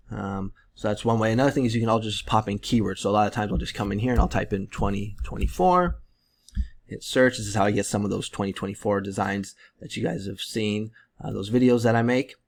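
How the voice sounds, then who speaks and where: A man in his thirties giving a relaxed, rambling screen-share tutorial with many fillers, his voice strained as he loses it. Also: on the low side, creaky. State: losing his voice, clearing his throat. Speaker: print-on-demand seller and tutorial creator.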